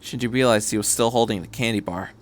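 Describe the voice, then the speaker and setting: A man's voice: fairly low pitch, fast. Man narrating a dramatic story. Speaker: podcast host and story reader. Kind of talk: scripted narration of fan fiction.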